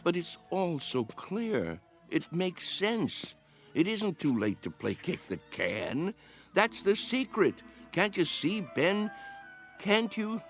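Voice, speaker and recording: A man's voice: high-pitched, wildly swooping pitch, takes it slowly, soft background music, exaggerated voice. An actor playing an earnest, dreamy old man who muses fervently about youth, in a radio drama. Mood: fervent, pleading. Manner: earnest, musing, insistent.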